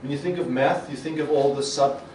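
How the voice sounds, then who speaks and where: Middle-aged man, Afrikaans speaker, animated conference talk, roomy hall, voice a little distant. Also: a little high, brisk, slight background noise. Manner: animated, gestures a lot, acts out quoted reactions. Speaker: conference presenter. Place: conference hall with projector screen. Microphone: podium mic. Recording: echoey hall, mic a bit far.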